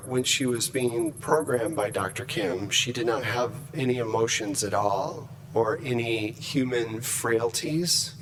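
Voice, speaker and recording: A man voicing a logical, disapproving shipboard AI in a measured, formal, clinical manner at a game table. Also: medium pitch, fairly bright tone, rough recording.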